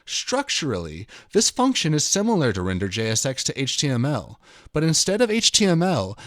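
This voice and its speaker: A man in his thirties reading a written programming tutorial aloud, with an emphatic, somewhat dramatic delivery. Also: lowish voice. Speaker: tutorial host. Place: indoor room at a computer.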